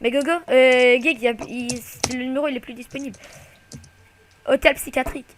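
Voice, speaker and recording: A woman's voice: sits high, fairly even intonation, a little fast, slight background noise. A woman chatting casually with friends in a group voice chat while making prank calls. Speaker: prank caller.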